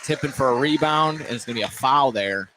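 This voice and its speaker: A man's voice: mid-range voice, a little fast. A man doing live radio play-by-play of a basketball game in clipped phrases. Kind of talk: live sports radio commentary. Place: indoor basketball gymnasium. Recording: noisy gym ambience, bouncing basketballs. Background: gym noise and basketball bounces.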